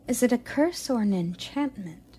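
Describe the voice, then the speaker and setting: A woman playing a curious, playful young goddess in an audio roleplay, sweet and expressive with a slightly haughty streak. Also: a little high, normal speed, slight background noise, lightly acted. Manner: inquisitive, expressive, cooing at a pet. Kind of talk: romantic audio roleplay addressed to the listener.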